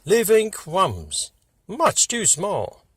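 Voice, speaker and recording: Husky man narrating with very animated pitch. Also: no echo, clean studio sound. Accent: put-on British-Australian. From United States. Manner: theatrical, drawn-out phrasing. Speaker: storybook reader. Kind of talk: dramatic scripted story narration.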